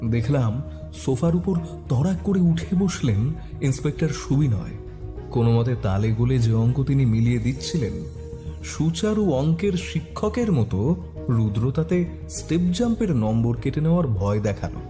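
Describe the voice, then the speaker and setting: A man giving expressive scripted narration of a detective story. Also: medium pitch, normal speed, music in the background.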